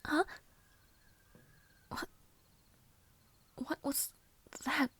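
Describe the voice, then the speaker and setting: A woman voicing a gentle, anxious, lovelorn young maid in an intimate fantasy audio roleplay, speaking softly and breathily with hesitant, old-fashioned formal phrasing. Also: drawn-out words, dry recording. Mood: uneasy, nervous. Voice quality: soft, breathy, often near whisper.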